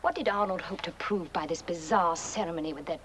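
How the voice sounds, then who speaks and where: A woman plays a scornful aristocratic widow in a horror comedy film. Her voice is fairly low, with faint noise on the old soundtrack. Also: brisk, a bit bright, natural voice.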